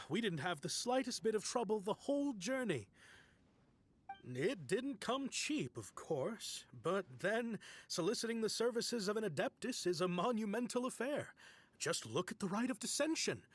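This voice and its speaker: An actor voicing a talkative merchant who sounds pleased with himself. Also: male voice, sits high, breathy edge, full character voice. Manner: talkative, animated. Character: chatty merchant man, self-satisfied.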